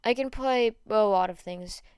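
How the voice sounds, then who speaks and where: A low-key teenage girl musician, played by a woman narrator in a fan audiobook. She speaks matter-of-factly in a clean, dry-sounding recording. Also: a little mellow, smooth, no room sound, close to an everyday voice. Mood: casual. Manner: slow, matter-of-fact.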